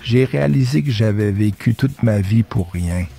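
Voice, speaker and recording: An actor playing a grieving old man who laments his wasted life in an animated film. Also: lowish voice, creaky. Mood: despairing, bitter. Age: older. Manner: slow, lamenting delivery.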